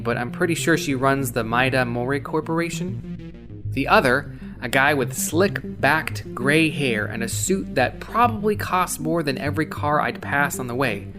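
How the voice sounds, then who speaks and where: A man voicing a weary young courier who narrates a cyberpunk story in a subdued, measured way. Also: medium pitch, natural voice. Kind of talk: scripted first-person audio fiction narration. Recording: music behind the voice.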